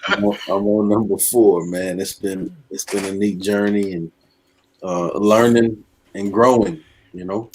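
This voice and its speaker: A man's voice: on the low side, expressive, measured pace, breathy edge, low-quality recording. A man talking casually as a guest author on a talk show. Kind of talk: casual chat.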